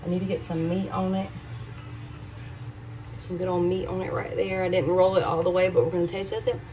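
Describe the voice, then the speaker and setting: A woman's voice: on the low side, little pitch movement, fairly quick, dark tone. A woman, chatting viewers through a cooking tutorial step by step. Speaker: cooking vlogger. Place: hotel room. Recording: distant mic with clear room noise.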